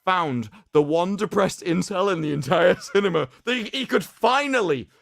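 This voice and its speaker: Theatrical man with wildly swinging pitch. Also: lively intonation, normal speed, vocal fry. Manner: sarcastic, theatrical, emphatic. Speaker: streamer host. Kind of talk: dramatic reading with mocking commentary.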